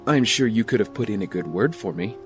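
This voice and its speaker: An actor playing a weary, guarded castaway with a secret life, speaking in a measured, understated way. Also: male voice, lowish voice, animated, music in the background, close to an everyday voice. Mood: relaxed, fond. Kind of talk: audio drama acting.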